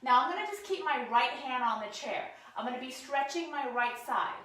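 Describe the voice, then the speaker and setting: A woman with a cold-roughened voice, casually talking viewers through stretches at a work desk while she demonstrates them. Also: sits high, lively intonation, brisk, sharp tone, very echoey. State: hoarse from a cold. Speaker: posture and ergonomics instructor. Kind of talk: guided stretching lesson, talking to camera. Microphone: camera mic, sometimes turned away.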